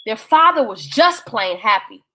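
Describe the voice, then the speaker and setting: Female storyteller for kids' bedtime tales. High, bright voice, expressive and folksy, big swings in pitch. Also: unhurried. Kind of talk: animated children's bedtime story reading.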